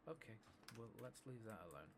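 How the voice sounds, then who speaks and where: A man talking in a soft murmur close to the microphone, rambling in an unhurried, self-deprecating way as he gives ASMR gaming commentary. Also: mid-range voice, big pitch swings. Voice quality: soft-spoken, breathy murmur. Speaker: ASMR video game streamer. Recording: clearly noisy, constant loud background ambience.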